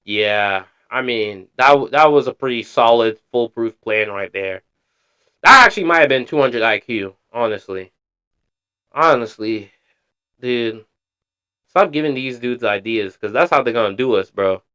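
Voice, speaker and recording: A man's voice: mid-range voice, lively intonation, unhurried, sharp tone, clean tone. A man streaming casual reaction commentary, slangy and blunt, swearing freely.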